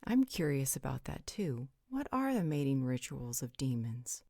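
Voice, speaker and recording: A worldly, supernatural female guardian voiced by a woman for an audio roleplay. Her voice sits low and is recorded close and dry, and she talks with blunt, teasing frankness. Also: sing-song, normal speed, warm tone, no room sound, heavily acted. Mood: matter-of-fact. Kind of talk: scripted roleplay audio drama.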